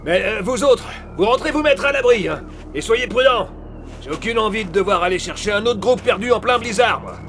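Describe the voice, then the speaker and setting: Guy acting seasoned mountain guide in audio drama, firm n blunt, high voice, music under it. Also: expressive, fairly quick, fairly bright tone. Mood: resigned, firm. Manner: blunt, cautioning. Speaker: voice actor. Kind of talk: French audio fiction acting.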